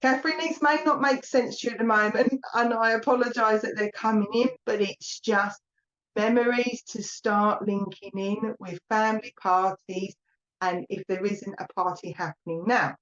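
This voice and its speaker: A woman's voice: mid-range voice, steady tone, normal speed, fairly bright tone. Chatty Essex woman medium, lo-fi sound. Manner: chatty and rambling, calls viewers "my lovely". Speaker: psychic medium. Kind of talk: live online mediumship reading.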